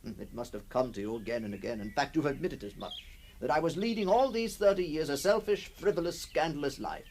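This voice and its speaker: A man's voice: a little high, animated, brisk, few pauses, darkish tone, slight background noise. An actor playing a restless middle-aged man obsessed with his other self, speaking in intense, literary phrases in a ghost-story drama. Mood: brooding regret. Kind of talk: radio ghost-story acting and first-person narration.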